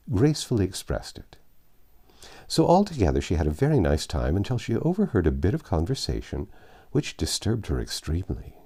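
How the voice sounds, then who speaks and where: Male audiobook narrator, very low and mellow, expressive storybook read.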